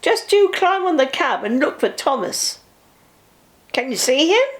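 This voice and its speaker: A woman voicing a calm, good-humoured but firm male driver in a children's storybook, sounding matter-of-fact. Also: sits high, unhurried, pitched above the performer's own voice.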